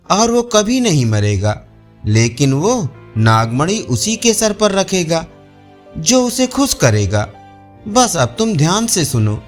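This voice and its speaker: A man's voice: sits high, heavily acted. A man voicing a scheming male monkey in a storybook tale, coaxing and persuasive.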